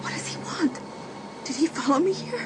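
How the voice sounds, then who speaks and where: A woman playing a jumpy, squeamish but soft-hearted teenage girl in an audio drama, expressive and exclamatory. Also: sits high, moderate pace. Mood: frightened, puzzled. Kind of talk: audio drama acting with whispered inner thoughts. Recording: loud music bed behind the voice.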